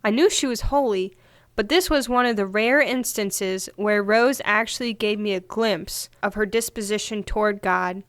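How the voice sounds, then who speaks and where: A woman's voice: medium pitch, normal speed, dry recording, natural voice. A woman playing a gracious, devout lady in a religious audio drama. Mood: reverent, fond. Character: gracious, devout woman, fond of remembering her friend.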